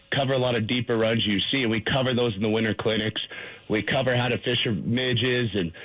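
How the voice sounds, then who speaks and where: Male radio guest, husky mid-pitched voice, enthusiastic and explanatory. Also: fairly bright tone, low-quality recording. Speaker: fly shop guest, fishing instructor.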